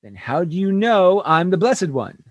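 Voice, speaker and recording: A man's voice: high voice, sing-song, unhurried. A man playing an exasperated, tactless human starship captain in a sci-fi radio comedy, blustering and pleading as he talks.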